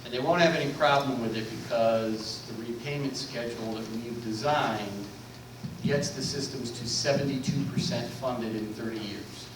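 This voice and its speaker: A man's voice: medium pitch, normal speed. A man on a panel at a public forum, explaining policy in an emphatic, numbers-heavy way. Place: large echoey meeting room. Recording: echoey, distant room recording with noise.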